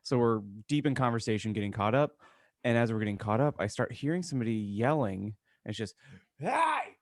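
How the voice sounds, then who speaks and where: A man co-hosting a podcast, telling a casual anecdote to friends in an animated, self-correcting way. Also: on the low side, fast, no room sound, studio quality. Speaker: podcast co-host.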